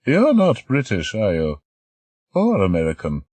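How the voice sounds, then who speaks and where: Male narrator doing an elderly grande dame, spry, quick-witted, amused; pitched well above a deep voice, very dry sound. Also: huge pitch swings, no room sound, heavily acted. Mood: kindly, chatty. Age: older. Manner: brisk, sharp-tongued, amused. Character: spry, teasing elderly woman, grand old stage star.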